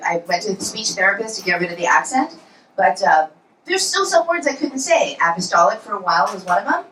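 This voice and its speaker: Lively self-deprecating woman doing stand-up. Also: mid-range voice, a little fast, slight background noise. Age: 40s. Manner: self-deprecating, punchy storytelling. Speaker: stand-up comedian. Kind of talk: stand-up comedy set. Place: comedy club in New York. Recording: roomy club sound, from far off.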